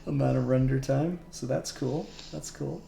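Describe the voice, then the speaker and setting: A man chatting casually on a livestream, muttering software settings aloud and chuckling as he works. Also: mid-range voice, fairly even intonation, moderate pace, gravelly, echoey room, heavy background noise. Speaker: streamer doing 3D render work.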